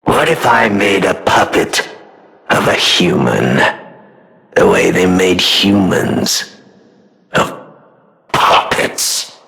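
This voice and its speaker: An actor playing a manic, mocking, murderous puppet in a video game, with theatrical delivery that is gleefully unhinged. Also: male voice, sits low, lively intonation, very slow, creaky, long pauses, full character voice. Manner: theatrical, gleefully unhinged, swinging between hushed and shouted. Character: manic, mocking, murderous male puppet, self-styled king of riddles.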